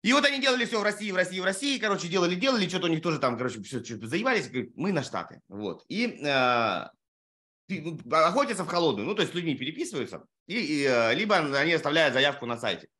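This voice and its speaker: Male podcast host, fairly high voice, animated storyteller, salty casual talk. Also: fast. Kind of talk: casual interview chat.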